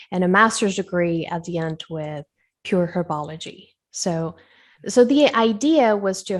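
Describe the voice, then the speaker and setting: Woman with a Spanish and French accent. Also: on the low side. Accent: foreign, Spanish and French influenced. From Colombia and Switzerland. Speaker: guest, wellness coach. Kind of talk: conversational interview answers.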